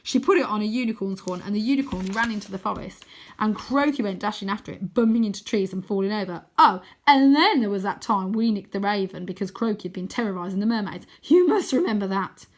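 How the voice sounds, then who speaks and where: A woman voicing an excitable, reckless boy wizard ninja in a storybook, cocky and gleeful, with an exuberant, shouting delivery. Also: medium pitch, quick pace. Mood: gleeful, excited.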